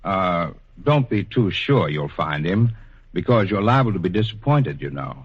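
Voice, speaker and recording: Low, husky, kindly official. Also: male voice, sing-song, heavily acted. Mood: patient, official. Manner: measured, officious, hesitant. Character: patient, kindly, bureaucratic male superintendent of the afterlife. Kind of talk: 1940s radio acting. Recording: dull, husky old radio recording.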